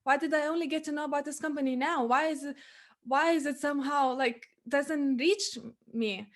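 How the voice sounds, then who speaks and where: Higher-voiced young Lithuanian woman hosting a podcast. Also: steady tone, normal speed. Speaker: podcast host and interviewer. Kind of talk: conversational interview.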